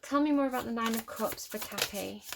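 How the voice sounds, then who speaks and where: A woman's voice: a little high, brisk, gravelly, noisy background. A woman with a Manchester accent giving a casual tarot reading to camera, musing and repeating phrases as she reads card meanings from a book. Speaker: tarot reader.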